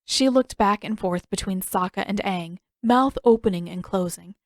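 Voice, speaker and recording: A woman's voice: medium pitch, normal speed, no echo. Woman narrating a story, very dry sound. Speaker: podfic narrator. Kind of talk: expressive scripted story narration.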